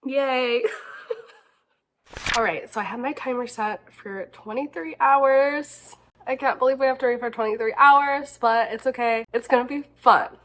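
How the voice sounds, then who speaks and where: A woman's voice: high-pitched, a little slow, fairly bright tone. A young woman with a slightly stuffy voice from a cold, chatting in an upbeat, casual way as a book vlogger. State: stuffy, a little sick. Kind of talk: casual vlog chat.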